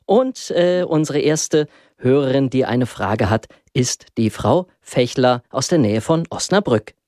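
A man's voice: mid-range voice, animated, measured pace, darkish tone, no echo, clean studio sound. A man moderating a religious radio call-in, introducing callers with frequent hesitations. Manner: filler-laden, friendly, introducing callers.

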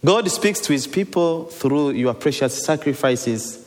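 A male priest from Tanzania preaching a sermon in short phrases, pausing between them for an interpreter. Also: on the high side, lively intonation, a little mellow. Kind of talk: sermon delivered in English with consecutive interpretation. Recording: reverberant hall.

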